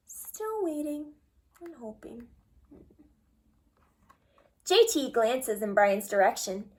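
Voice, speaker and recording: A woman with a high, very lively voice reads a picture book to young children. She takes her time over the phrases and asks guiding questions as a storyteller would. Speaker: children's read-aloud storyteller. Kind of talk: expressive picture-book read-aloud with questions to young listeners.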